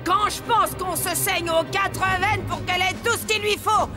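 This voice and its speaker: Harsh guardian mother for animation: extremely high voice, French accent, scolding and vulgar, over prominent music. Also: fairly even intonation, moderate pace. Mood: scolding, indignant. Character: shrill, abusive, doting mother and harsh guardian. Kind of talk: animated cartoon acting. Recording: loud music behind.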